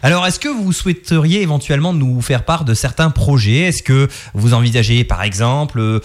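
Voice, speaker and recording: A man's voice: medium pitch, brisk. A man hosting a radio interview, chatty and playful, with many fillers and restarts. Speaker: radio host.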